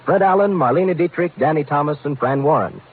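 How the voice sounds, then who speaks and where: A man's voice: on the high side, fast talker, slight background noise. A man with an American accent announcing programs in the polished, promotional style of 1950s network radio. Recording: tinny old radio broadcast, faint music.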